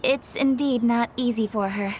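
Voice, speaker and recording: A woman's voice: fairly high pitch, normal speed, slight background noise, close to an everyday voice. A woman playing an earnest young official. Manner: earnest and courteous. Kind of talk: game voice acting.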